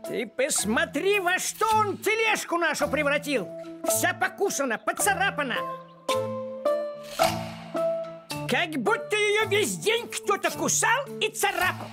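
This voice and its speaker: An actor voicing a grumpy, fussy, thrifty tomcat in an animated series, with indignant, sarcastic grumbling. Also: extremely high pitch, moderate pace, music in the background.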